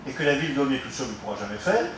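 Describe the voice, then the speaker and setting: A man speaks from some way off in a strongly echoing room, with faint noise around him. He explains like a local politician, didactic and emphatic, pausing and searching for his words. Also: medium pitch, big pitch swings, fairly bright tone. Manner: halting, emphatic, didactic with rhetorical questions. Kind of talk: unscripted explanatory speech to listeners. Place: reverberant room, recorded from some distance. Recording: very echoey room, distant mic, faint noise.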